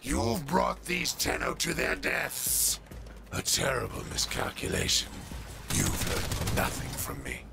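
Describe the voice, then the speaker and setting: Loud music surrounds a slow, heavily creaking male voice. It is an older corporate tyrant from a video game, pompous and scornful, drawing out his menace with theatrical weight. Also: medium pitch, wildly swooping pitch, full character voice. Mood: scornful gloating. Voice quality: gravelly. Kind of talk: dramatic video game villain acting.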